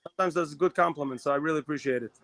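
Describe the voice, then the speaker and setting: A man from Israel co-hosting a live talk show, talking fast in a rambling way with many restarts. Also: fairly high pitch, fairly bright tone, dry recording. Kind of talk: casual live chat.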